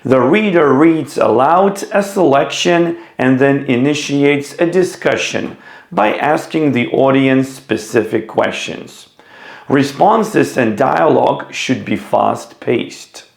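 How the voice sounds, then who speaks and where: A man teaching, explaining classroom reading activities in a slow, deliberate way. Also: medium pitch, vocal fry, roomy sound. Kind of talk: instructional video talk.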